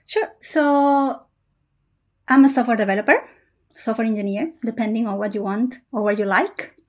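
A woman from Peru, a software engineer, answering questions in a casual interview over a video call. She speaks in a measured, thoughtful way, with frequent "uh" pauses. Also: fairly high pitch.

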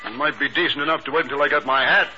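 An actor playing a skeptical, wry officer in a 1950s radio drama. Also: male voice, a little high, fairly even intonation, rapid-fire, pushed and bright, soft background music. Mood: wry doubt. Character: dry, skeptical British officer, loyal and wry. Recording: muffled old radio recording, faint noise.

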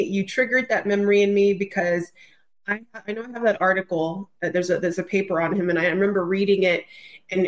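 A woman with a mid-pitched voice speaks as a guest in a casual podcast chat. She is effusive and exclamatory, telling things in an informal, chatty way.

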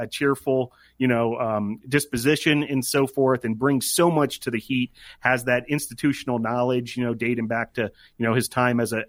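A man co-hosting a sports podcast, talking casually and reflectively in a halting way, often repeating words as he goes. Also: mid-range voice, no echo. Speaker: sports media podcast co-host. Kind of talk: casual conversational sports commentary.

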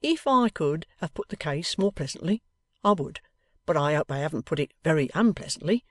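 A reader voicing a kindly, plain-spoken older gentleman who talks in an earnest, confidential way. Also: mid-range voice, lively intonation, a little fast, no room sound, studio quality, close to an everyday voice.

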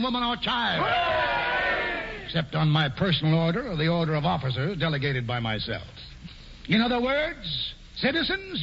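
An actor playing a pompous, scheming colonial governor, grandiose and sly in 1940s radio acting, on a muffled old broadcast. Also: male voice, high voice, pushed and bright, a little hiss or hum, heavily acted. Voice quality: grandiose, mock-genial, sly. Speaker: governor Stuyvesant.

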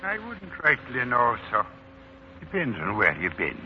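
An actor playing an unflappable, deferential manservant with formal, evasive politeness, in the style of 1940s radio drama. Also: male voice, mid-range voice, wildly swooping pitch, measured pace. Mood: blandly evasive. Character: unflappable, deferential manservant sent by an employment agency. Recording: muffled 1940s radio broadcast, faint noise.

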